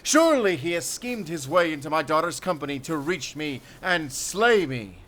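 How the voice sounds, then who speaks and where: A man's voice: on the high side, very animated, normal speed, fairly bright tone, voice pushed up. A man voicing a cruel, accusatory king in an audio drama story, barking his words theatrically. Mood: outraged.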